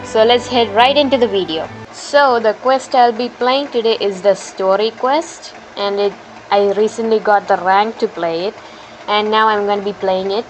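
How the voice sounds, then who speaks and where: A woman's voice: mid-range voice, moderate pace, poor audio. A woman giving casual let's-play commentary as a gaming YouTuber. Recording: game music behind voice. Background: video game music.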